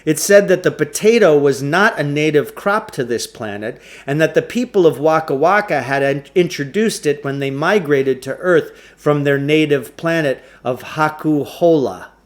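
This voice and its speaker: A man's voice: a little high, normal speed, few pauses. A man reading a children's novel aloud as a storybook narrator.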